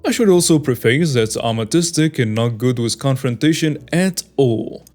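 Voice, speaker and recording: A man reading online stories aloud in a steady, scripted narration. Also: medium pitch, normal speed. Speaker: story narrator. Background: faint background music.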